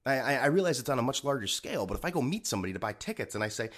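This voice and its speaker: A man's voice: mid-range voice, very animated, very fast, fairly bright tone. A man hosting a solo podcast, talking in a sarcastic, rambling way with a slightly stuffed-up nose. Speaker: podcast host.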